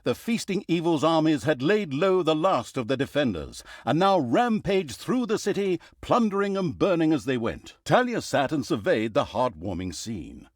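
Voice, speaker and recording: Mock-epic evil storyteller, hugely animated intonation, sardonic, pompous, fantasy game narration, very dry studio sound. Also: male voice, a little high, normal speed, few pauses, dry recording. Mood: mock-epic drama. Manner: sardonic, dryly humorous, mock-dramatic. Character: sarcastic, pompous male storyteller of evil deeds. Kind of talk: theatrical scripted fantasy narration.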